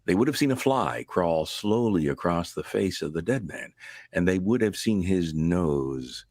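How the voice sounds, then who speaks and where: A man playing a solemn narrator of a vintage radio suspense tale, speaking in a measured, grave, deliberate way. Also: low-pitched, expressive, darkish tone. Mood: grave, suspenseful.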